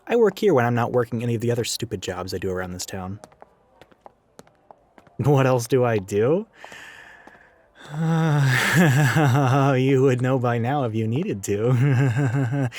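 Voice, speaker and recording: A man's voice: mid-range voice, a little mellow, dry recording. A man playing a chatty, sarcastic, gender-ambiguous retail coworker who goes by she, in a casual, rambling audio roleplay addressed to the listener. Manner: rambling, sarcastic, flippant, with sighs and chuckles.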